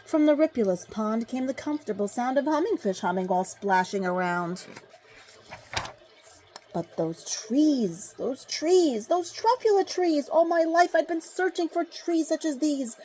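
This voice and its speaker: A woman reading a rhyming children's storybook aloud, with dramatic, deliberate phrasing. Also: high voice, animated, dark tone, a little hiss or hum, poor audio. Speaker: storybook reader. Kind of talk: expressive children's storybook read-aloud in rhyme.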